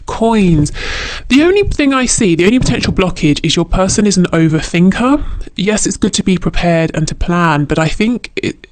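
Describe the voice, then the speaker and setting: Higher-voiced man giving a tarot reading. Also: a little hiss or hum. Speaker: tarot reader. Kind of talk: intuitive tarot reading, unscripted, addressed to the listener.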